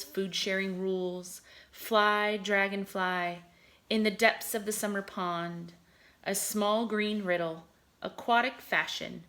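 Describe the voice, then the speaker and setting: Expressive woman teacher reading to children. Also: medium pitch. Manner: expressive, with questions put to young listeners. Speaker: kindergarten teacher reading to pupils. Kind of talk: read-aloud lesson for young children, explaining and reciting poems.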